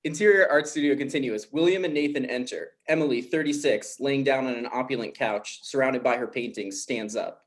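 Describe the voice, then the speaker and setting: A man hosting a table read and narrating stage directions in an informal, somewhat halting way. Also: medium pitch.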